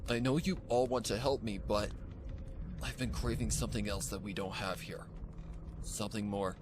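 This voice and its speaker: A man acts out a starving, bewitched stallion from a dark pony story. The voice is low, soft and nearly whispered, with dazed, halting phrases. There is noticeable noise behind it. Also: steady tone, natural voice. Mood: weak, craving. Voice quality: soft, weak, near-whispery. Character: starving, bewitched young stallion pegasus, weak and haunted.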